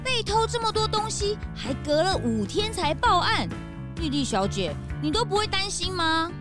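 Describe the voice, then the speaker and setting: A woman's voice: very high-pitched, sing-song, moderate pace, over music. A woman playing a curious, blunt, slightly grumbly young detective's assistant in a children's audio drama.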